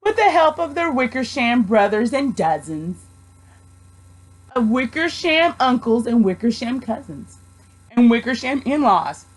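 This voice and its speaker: A woman narrates a rhyming children's story. Her voice sits fairly high and she takes her time, reading expressively. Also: very animated, a little slow, rough recording. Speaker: storybook reader, student teacher.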